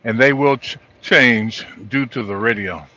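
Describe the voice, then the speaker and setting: A middle-aged or older man, a trucker, giving an informal, halting walkthrough of gear from inside his truck cab. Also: medium pitch, sharp tone, no room sound. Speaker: truck driver vlogger. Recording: dull lo-fi audio.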